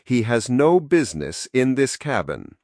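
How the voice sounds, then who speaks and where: A narrator plays an older titled gentleman in an audio story. He is formal and implacably authoritative, speaking slowly and raised in pitch over the narration. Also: big pitch swings, dry recording, clean studio sound. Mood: implacable authority.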